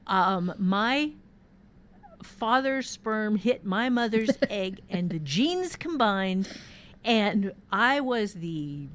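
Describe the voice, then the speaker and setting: A woman hosting a podcast workshop, talking informally and candidly, telling personal stories emphatically and swearing freely. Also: medium pitch. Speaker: podcast host and workshop teacher. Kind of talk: casual workshop chat and personal storytelling.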